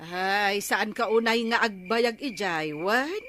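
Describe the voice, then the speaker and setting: A woman's voice: medium pitch, lively intonation, slow pace, fairly bright tone, slight background noise. An actress playing a caring but fussy old grandmother in an Ilocano comedy radio drama. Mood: fussing.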